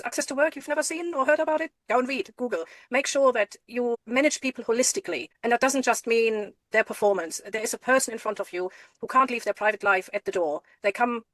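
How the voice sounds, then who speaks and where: A middle-aged woman from Germany speaks, earnest and encouraging as she gives advice. Her voice sits a little high. The sound is close and dry, with no room around it. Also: a bit bright, no echo, poor audio. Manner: encouraging, emphatic, repeats herself for stress. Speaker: guest.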